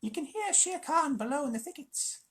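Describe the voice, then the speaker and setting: A reader voicing a sly, fawning jackal from a storybook in a very high, strained voice, mocking and wheedling. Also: animated, normal speed, a bit bright. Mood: spiteful. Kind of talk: storybook reading.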